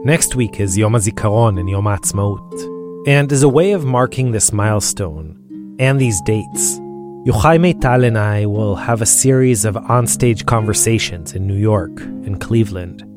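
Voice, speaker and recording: A man hosting a podcast, narrating in a calm, measured way. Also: fairly low pitch, over music. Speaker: podcast host. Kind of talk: scripted narration.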